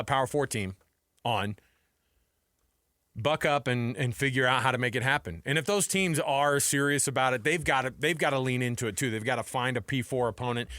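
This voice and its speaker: A man's voice: medium pitch, sharp tone, no echo. American sports radio man, dry studio sound. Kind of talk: casual sports talk banter.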